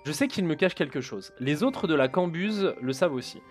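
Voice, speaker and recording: A man's voice: a little high, expressive, moderate pace, faint music behind. A man streaming a video game, joking casually and reading in-game text aloud. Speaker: gaming streamer. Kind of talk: casual let's-play commentary.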